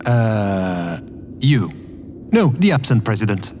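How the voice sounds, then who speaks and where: A man's voice: lowish voice, sing-song, slow pace, background noise. A man playing a naive, obedient spaceship operator in a sci-fi comedy audio drama, literal-minded, hesitant and earnest.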